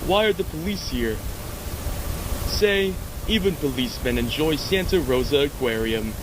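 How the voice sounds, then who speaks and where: A man's voice: a little high, animated, moderate pace. A man delivering a scripted instructional announcement in a horror video clip. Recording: very noisy, distant-sounding horror video clip.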